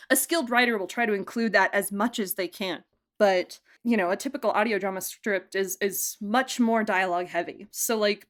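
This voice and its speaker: A young woman producer and director being interviewed, talking with enthusiasm, laughing often and speaking in quick bursts. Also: fairly high pitch, fairly bright tone. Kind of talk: podcast interview.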